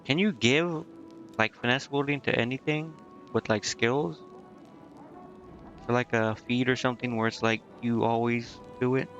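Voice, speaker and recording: A man's voice: medium pitch, steady tone, moderate pace, a bit bright, muffled recording, soft background music. A man streaming a video game, thinking aloud in a casual, hesitant way as he mutters to himself.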